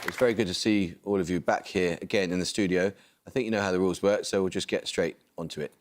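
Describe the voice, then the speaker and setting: Measured, formal quiz show host, man. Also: deep voice, fairly even intonation, a faint crowd, a little hiss or hum. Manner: measured, precise, formal delivery. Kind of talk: scripted quiz presenting. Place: television studio.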